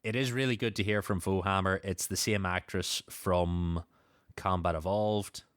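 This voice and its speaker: A man's voice: low voice, fairly even intonation, normal speed, fairly bright tone, clean tone, dry recording, studio quality. A man hosting a podcast, casually recapping and discussing a story with a co-host.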